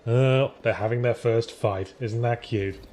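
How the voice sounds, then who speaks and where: A man's voice: mid-range voice, fairly even intonation, unhurried, darkish tone. A man co-hosting a mock story reading, moving between narration and wry, joking banter. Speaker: co-host reading aloud.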